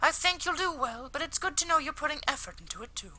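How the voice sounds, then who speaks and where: A man voicing a kind, earnest high school girl, politely apologetic and gently teasing, in a visual novel. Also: sing-song, quick pace, exaggerated voice, low-quality recording. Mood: earnest, encouraging. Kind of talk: visual novel character read aloud.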